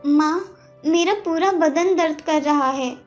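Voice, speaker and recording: Very high-voiced sweet little village girl woman acting. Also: takes it slowly. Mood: weary. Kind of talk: animated moral story dialogue. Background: faint background music.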